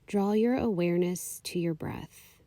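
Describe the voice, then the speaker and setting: A woman leading a guided meditation, giving calm, soothing, unhurried instructions. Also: on the low side, dry recording. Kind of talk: guided meditation, slow scripted narration.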